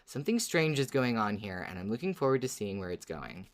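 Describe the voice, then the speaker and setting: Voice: mid-range voice, very animated, brisk. A podcast co-host with an androgynous voice, chatting casually and reading out listener messages. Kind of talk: casual fan discussion and reading listener emails.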